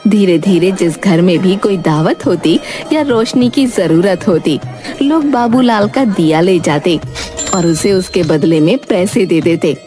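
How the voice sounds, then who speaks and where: A woman's voice: fairly low pitch, animated, a little slow, warm tone, faint music behind. A woman narrator reading a scripted fairy tale as a storyteller.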